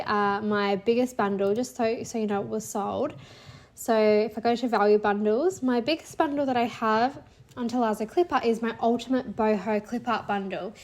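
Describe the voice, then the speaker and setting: A woman in her thirties talks through her sales figures in a relaxed, chatty way, sprinkling in "like" and "so". Her voice sits a little high and sounds a bit nasal from a cold. She keeps an upbeat tone and laughs lightly now and then. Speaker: clipart seller sharing a monthly income report. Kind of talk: casual screen-share walkthrough.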